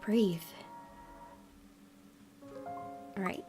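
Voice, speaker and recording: A woman game streamer reading a visual novel aloud as expressive narration. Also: extremely slow, soft background music.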